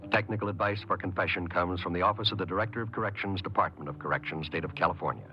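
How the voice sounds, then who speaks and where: A man's voice: low-pitched, very fast, slight background noise. A man announcing in a formal, scripted 1950s radio style. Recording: muffled 1950s radio broadcast recording. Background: music.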